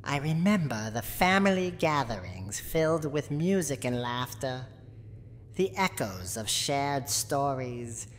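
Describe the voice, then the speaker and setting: A woman playing a cranky, lonely, menacing old granny from a horror game in a slow, theatrical, haughty roleplay monologue. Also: a bass voice. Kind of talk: dramatic scripted roleplay monologue, ASMR audio drama. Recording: long hall reverb, audible background noise.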